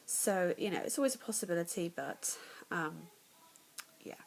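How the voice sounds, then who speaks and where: A woman's voice: lowish voice, expressive, moderate pace, vocal fry, slight background noise, rough recording. A young English woman vlogging casually about her pregnancy, congested and nasal, chatty and candid, often dropping to a whisper, at home in the evening. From England.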